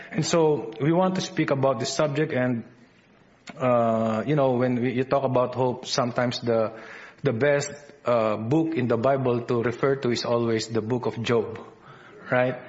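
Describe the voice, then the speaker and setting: A man with a Filipino accent preaching a sermon as a visiting minister, halting often with fillers and restarts. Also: mid-range voice, fairly even intonation, lo-fi sound. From Philippines. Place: church service.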